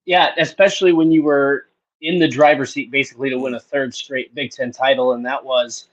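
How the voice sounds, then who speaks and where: A man in his late thirties breaking down sports in a casual podcast chat. His voice sits at an ordinary middle pitch. He explains in a measured way and backs up his points with stats. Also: level tone, dull audio. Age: 30s. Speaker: sports analyst co-host.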